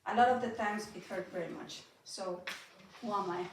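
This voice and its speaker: A woman's voice: medium pitch, moderate pace, very noisy. A young woman from Mexico and Austria, native in Spanish, nervously reading a personal talk aloud to an audience, her voice shaky and broken by small laughs. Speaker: speaker giving a talk. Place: event hall at a building inauguration. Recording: echoey hall, speaker far from mic.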